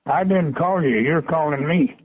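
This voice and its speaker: A man's voice: on the high side, normal speed, no room sound, phone-quality sound. An older man speaking on the telephone in a curt, plain-spoken way. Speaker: prank call recipient. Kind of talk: phone conversation.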